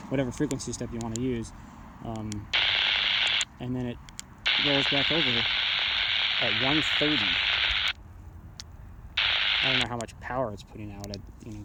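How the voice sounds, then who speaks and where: A man's voice: mid-range voice, heavy background noise. A man talking casually and off the cuff as he demonstrates radio gear, rambling but blunt and matter-of-fact. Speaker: amateur radio hobbyist reviewing gear. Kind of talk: casual unscripted product demonstration.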